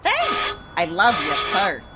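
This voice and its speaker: A woman's voice: mid-range voice, huge pitch swings, takes it slowly, very noisy. An actress playing a brash, lovesick young chorus girl in a comedic old-time radio drama, with slangy, melodramatic tough-dame talk. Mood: grudging.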